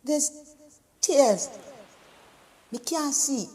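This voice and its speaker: Rich: A woman performing a haunted mother confessing in a slow, broken stage monologue. Her voice sits fairly high and drags each line out, and a long hall reverb trails behind it. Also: animated, mellow, exaggerated voice. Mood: anguished. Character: anguished woman haunted by a lost newborn.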